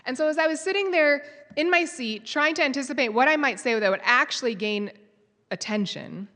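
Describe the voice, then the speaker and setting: A woman's voice: sits high, fairly quick, bright, forceful tone. A woman giving a live conference talk, telling a story and quizzing the audience. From United States. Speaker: conference speaker. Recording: long hall reverb.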